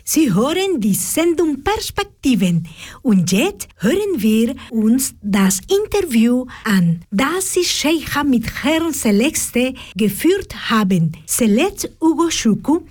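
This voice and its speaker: A woman radio presenter with a high, lively voice that rises and falls a lot. She reads a formal introduction slowly and carefully. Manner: formal, measured. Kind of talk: scripted radio announcement.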